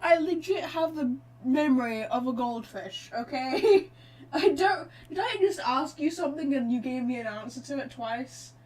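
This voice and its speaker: High pitched girl streamer chatting over a game, casual and poking fun at herself. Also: lively intonation, normal speed, a little mellow, slightly rough, rough recording. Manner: self-deprecating, chatty. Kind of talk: casual live game commentary.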